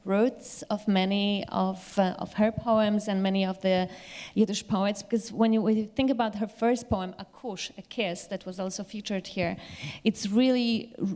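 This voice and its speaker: A woman speaking as a panelist in a discussion, explaining in a measured way, recorded in a reverberant hall. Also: mid-range voice, a little mellow.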